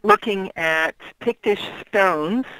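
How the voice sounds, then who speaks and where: A woman's voice: mid-range voice, slow pace, sharp tone, no room sound, low-quality recording. A middle-aged woman guesting on an interview show, chatting in a rambling way with fillers and easy laughs. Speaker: guest author. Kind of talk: casual interview chat.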